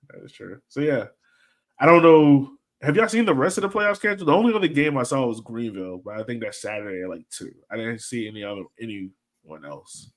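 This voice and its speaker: A man's voice: medium pitch, lively intonation, a little fast. Casual guy hosting a soccer podcast. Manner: rambling, colloquial, conversational. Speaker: podcast host. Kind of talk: casual soccer fan chat.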